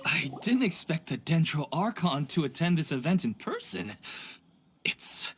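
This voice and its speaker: A woman's voice: sits low, very animated, unhurried, a bit bright, slight background noise, exaggerated voice. A woman voicing an awestruck, admiring festival-goer in a video game, marvelling as she speaks. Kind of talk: scripted game character dialogue.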